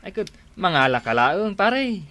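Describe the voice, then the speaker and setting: A man's voice: high voice, sing-song, unhurried, sharp tone, exaggerated voice. A man playing a nosy, teasing construction workmate in an Ilocano radio drama, with a northern Philippine accent.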